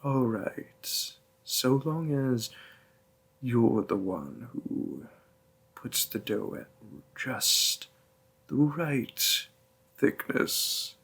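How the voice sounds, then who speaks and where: A gentle demon boyfriend, played by a man in an intimate audio roleplay. He speaks very slowly and tenderly, with a breathy, husky voice that often sinks into creak. His manner is hushed, doting and soothing. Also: mid-range voice, lively intonation, heavily acted. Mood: playful, warm. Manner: slow, hushed, tender, with pauses.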